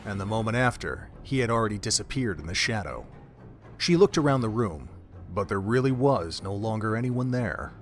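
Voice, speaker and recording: A man narrating a fan audio drama in a steady, measured storytelling manner. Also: fairly low pitch, faint music behind.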